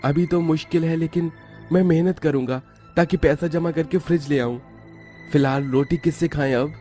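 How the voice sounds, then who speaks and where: Guy as gentle earnest poor dad in a cartoon story, slightly high voice, music behind him. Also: steady tone, normal speed, natural voice. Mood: resigned. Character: gentle hard-working poor father. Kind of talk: Hindi cartoon story dubbing.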